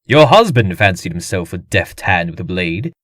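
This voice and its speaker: A man's voice: sits low, big pitch swings, normal speed, a bit bright, no room sound, clean studio sound, exaggerated voice. An actor playing a haughty, boorish fencing master in a comic dub, sneering and blunt as he taunts his pupil. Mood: stern, taunting.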